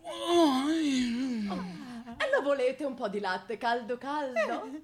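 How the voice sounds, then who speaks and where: An actress playing an animal-like spinster sister in experimental radio theatre, bossy and fawning, talking in broken, repetitive phrases. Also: female voice, high-pitched, huge pitch swings, takes it slowly, exaggerated voice. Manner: broken phrases, repeated little words.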